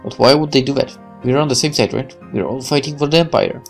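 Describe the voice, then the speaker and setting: A woman's voice: rumbling low, fast, gravelly, faint music behind. A woman voicing a naive, idealistic young male soldier, earnest and questioning, in a visual novel game. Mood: troubled.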